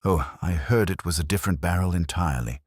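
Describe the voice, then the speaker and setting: A man's voice: deep voice, quick pace, no room sound, clean studio sound. A man voicing gossiping small-town pub regulars who trade rumours in a knowing, chattering way. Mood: gossipy.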